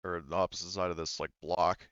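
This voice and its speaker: A man's voice: sits low, level tone, brisk, fairly bright tone, clean tone, no room sound, dull audio. A man casually narrating a tabletop game as game master, rambling and thinking aloud as he goes.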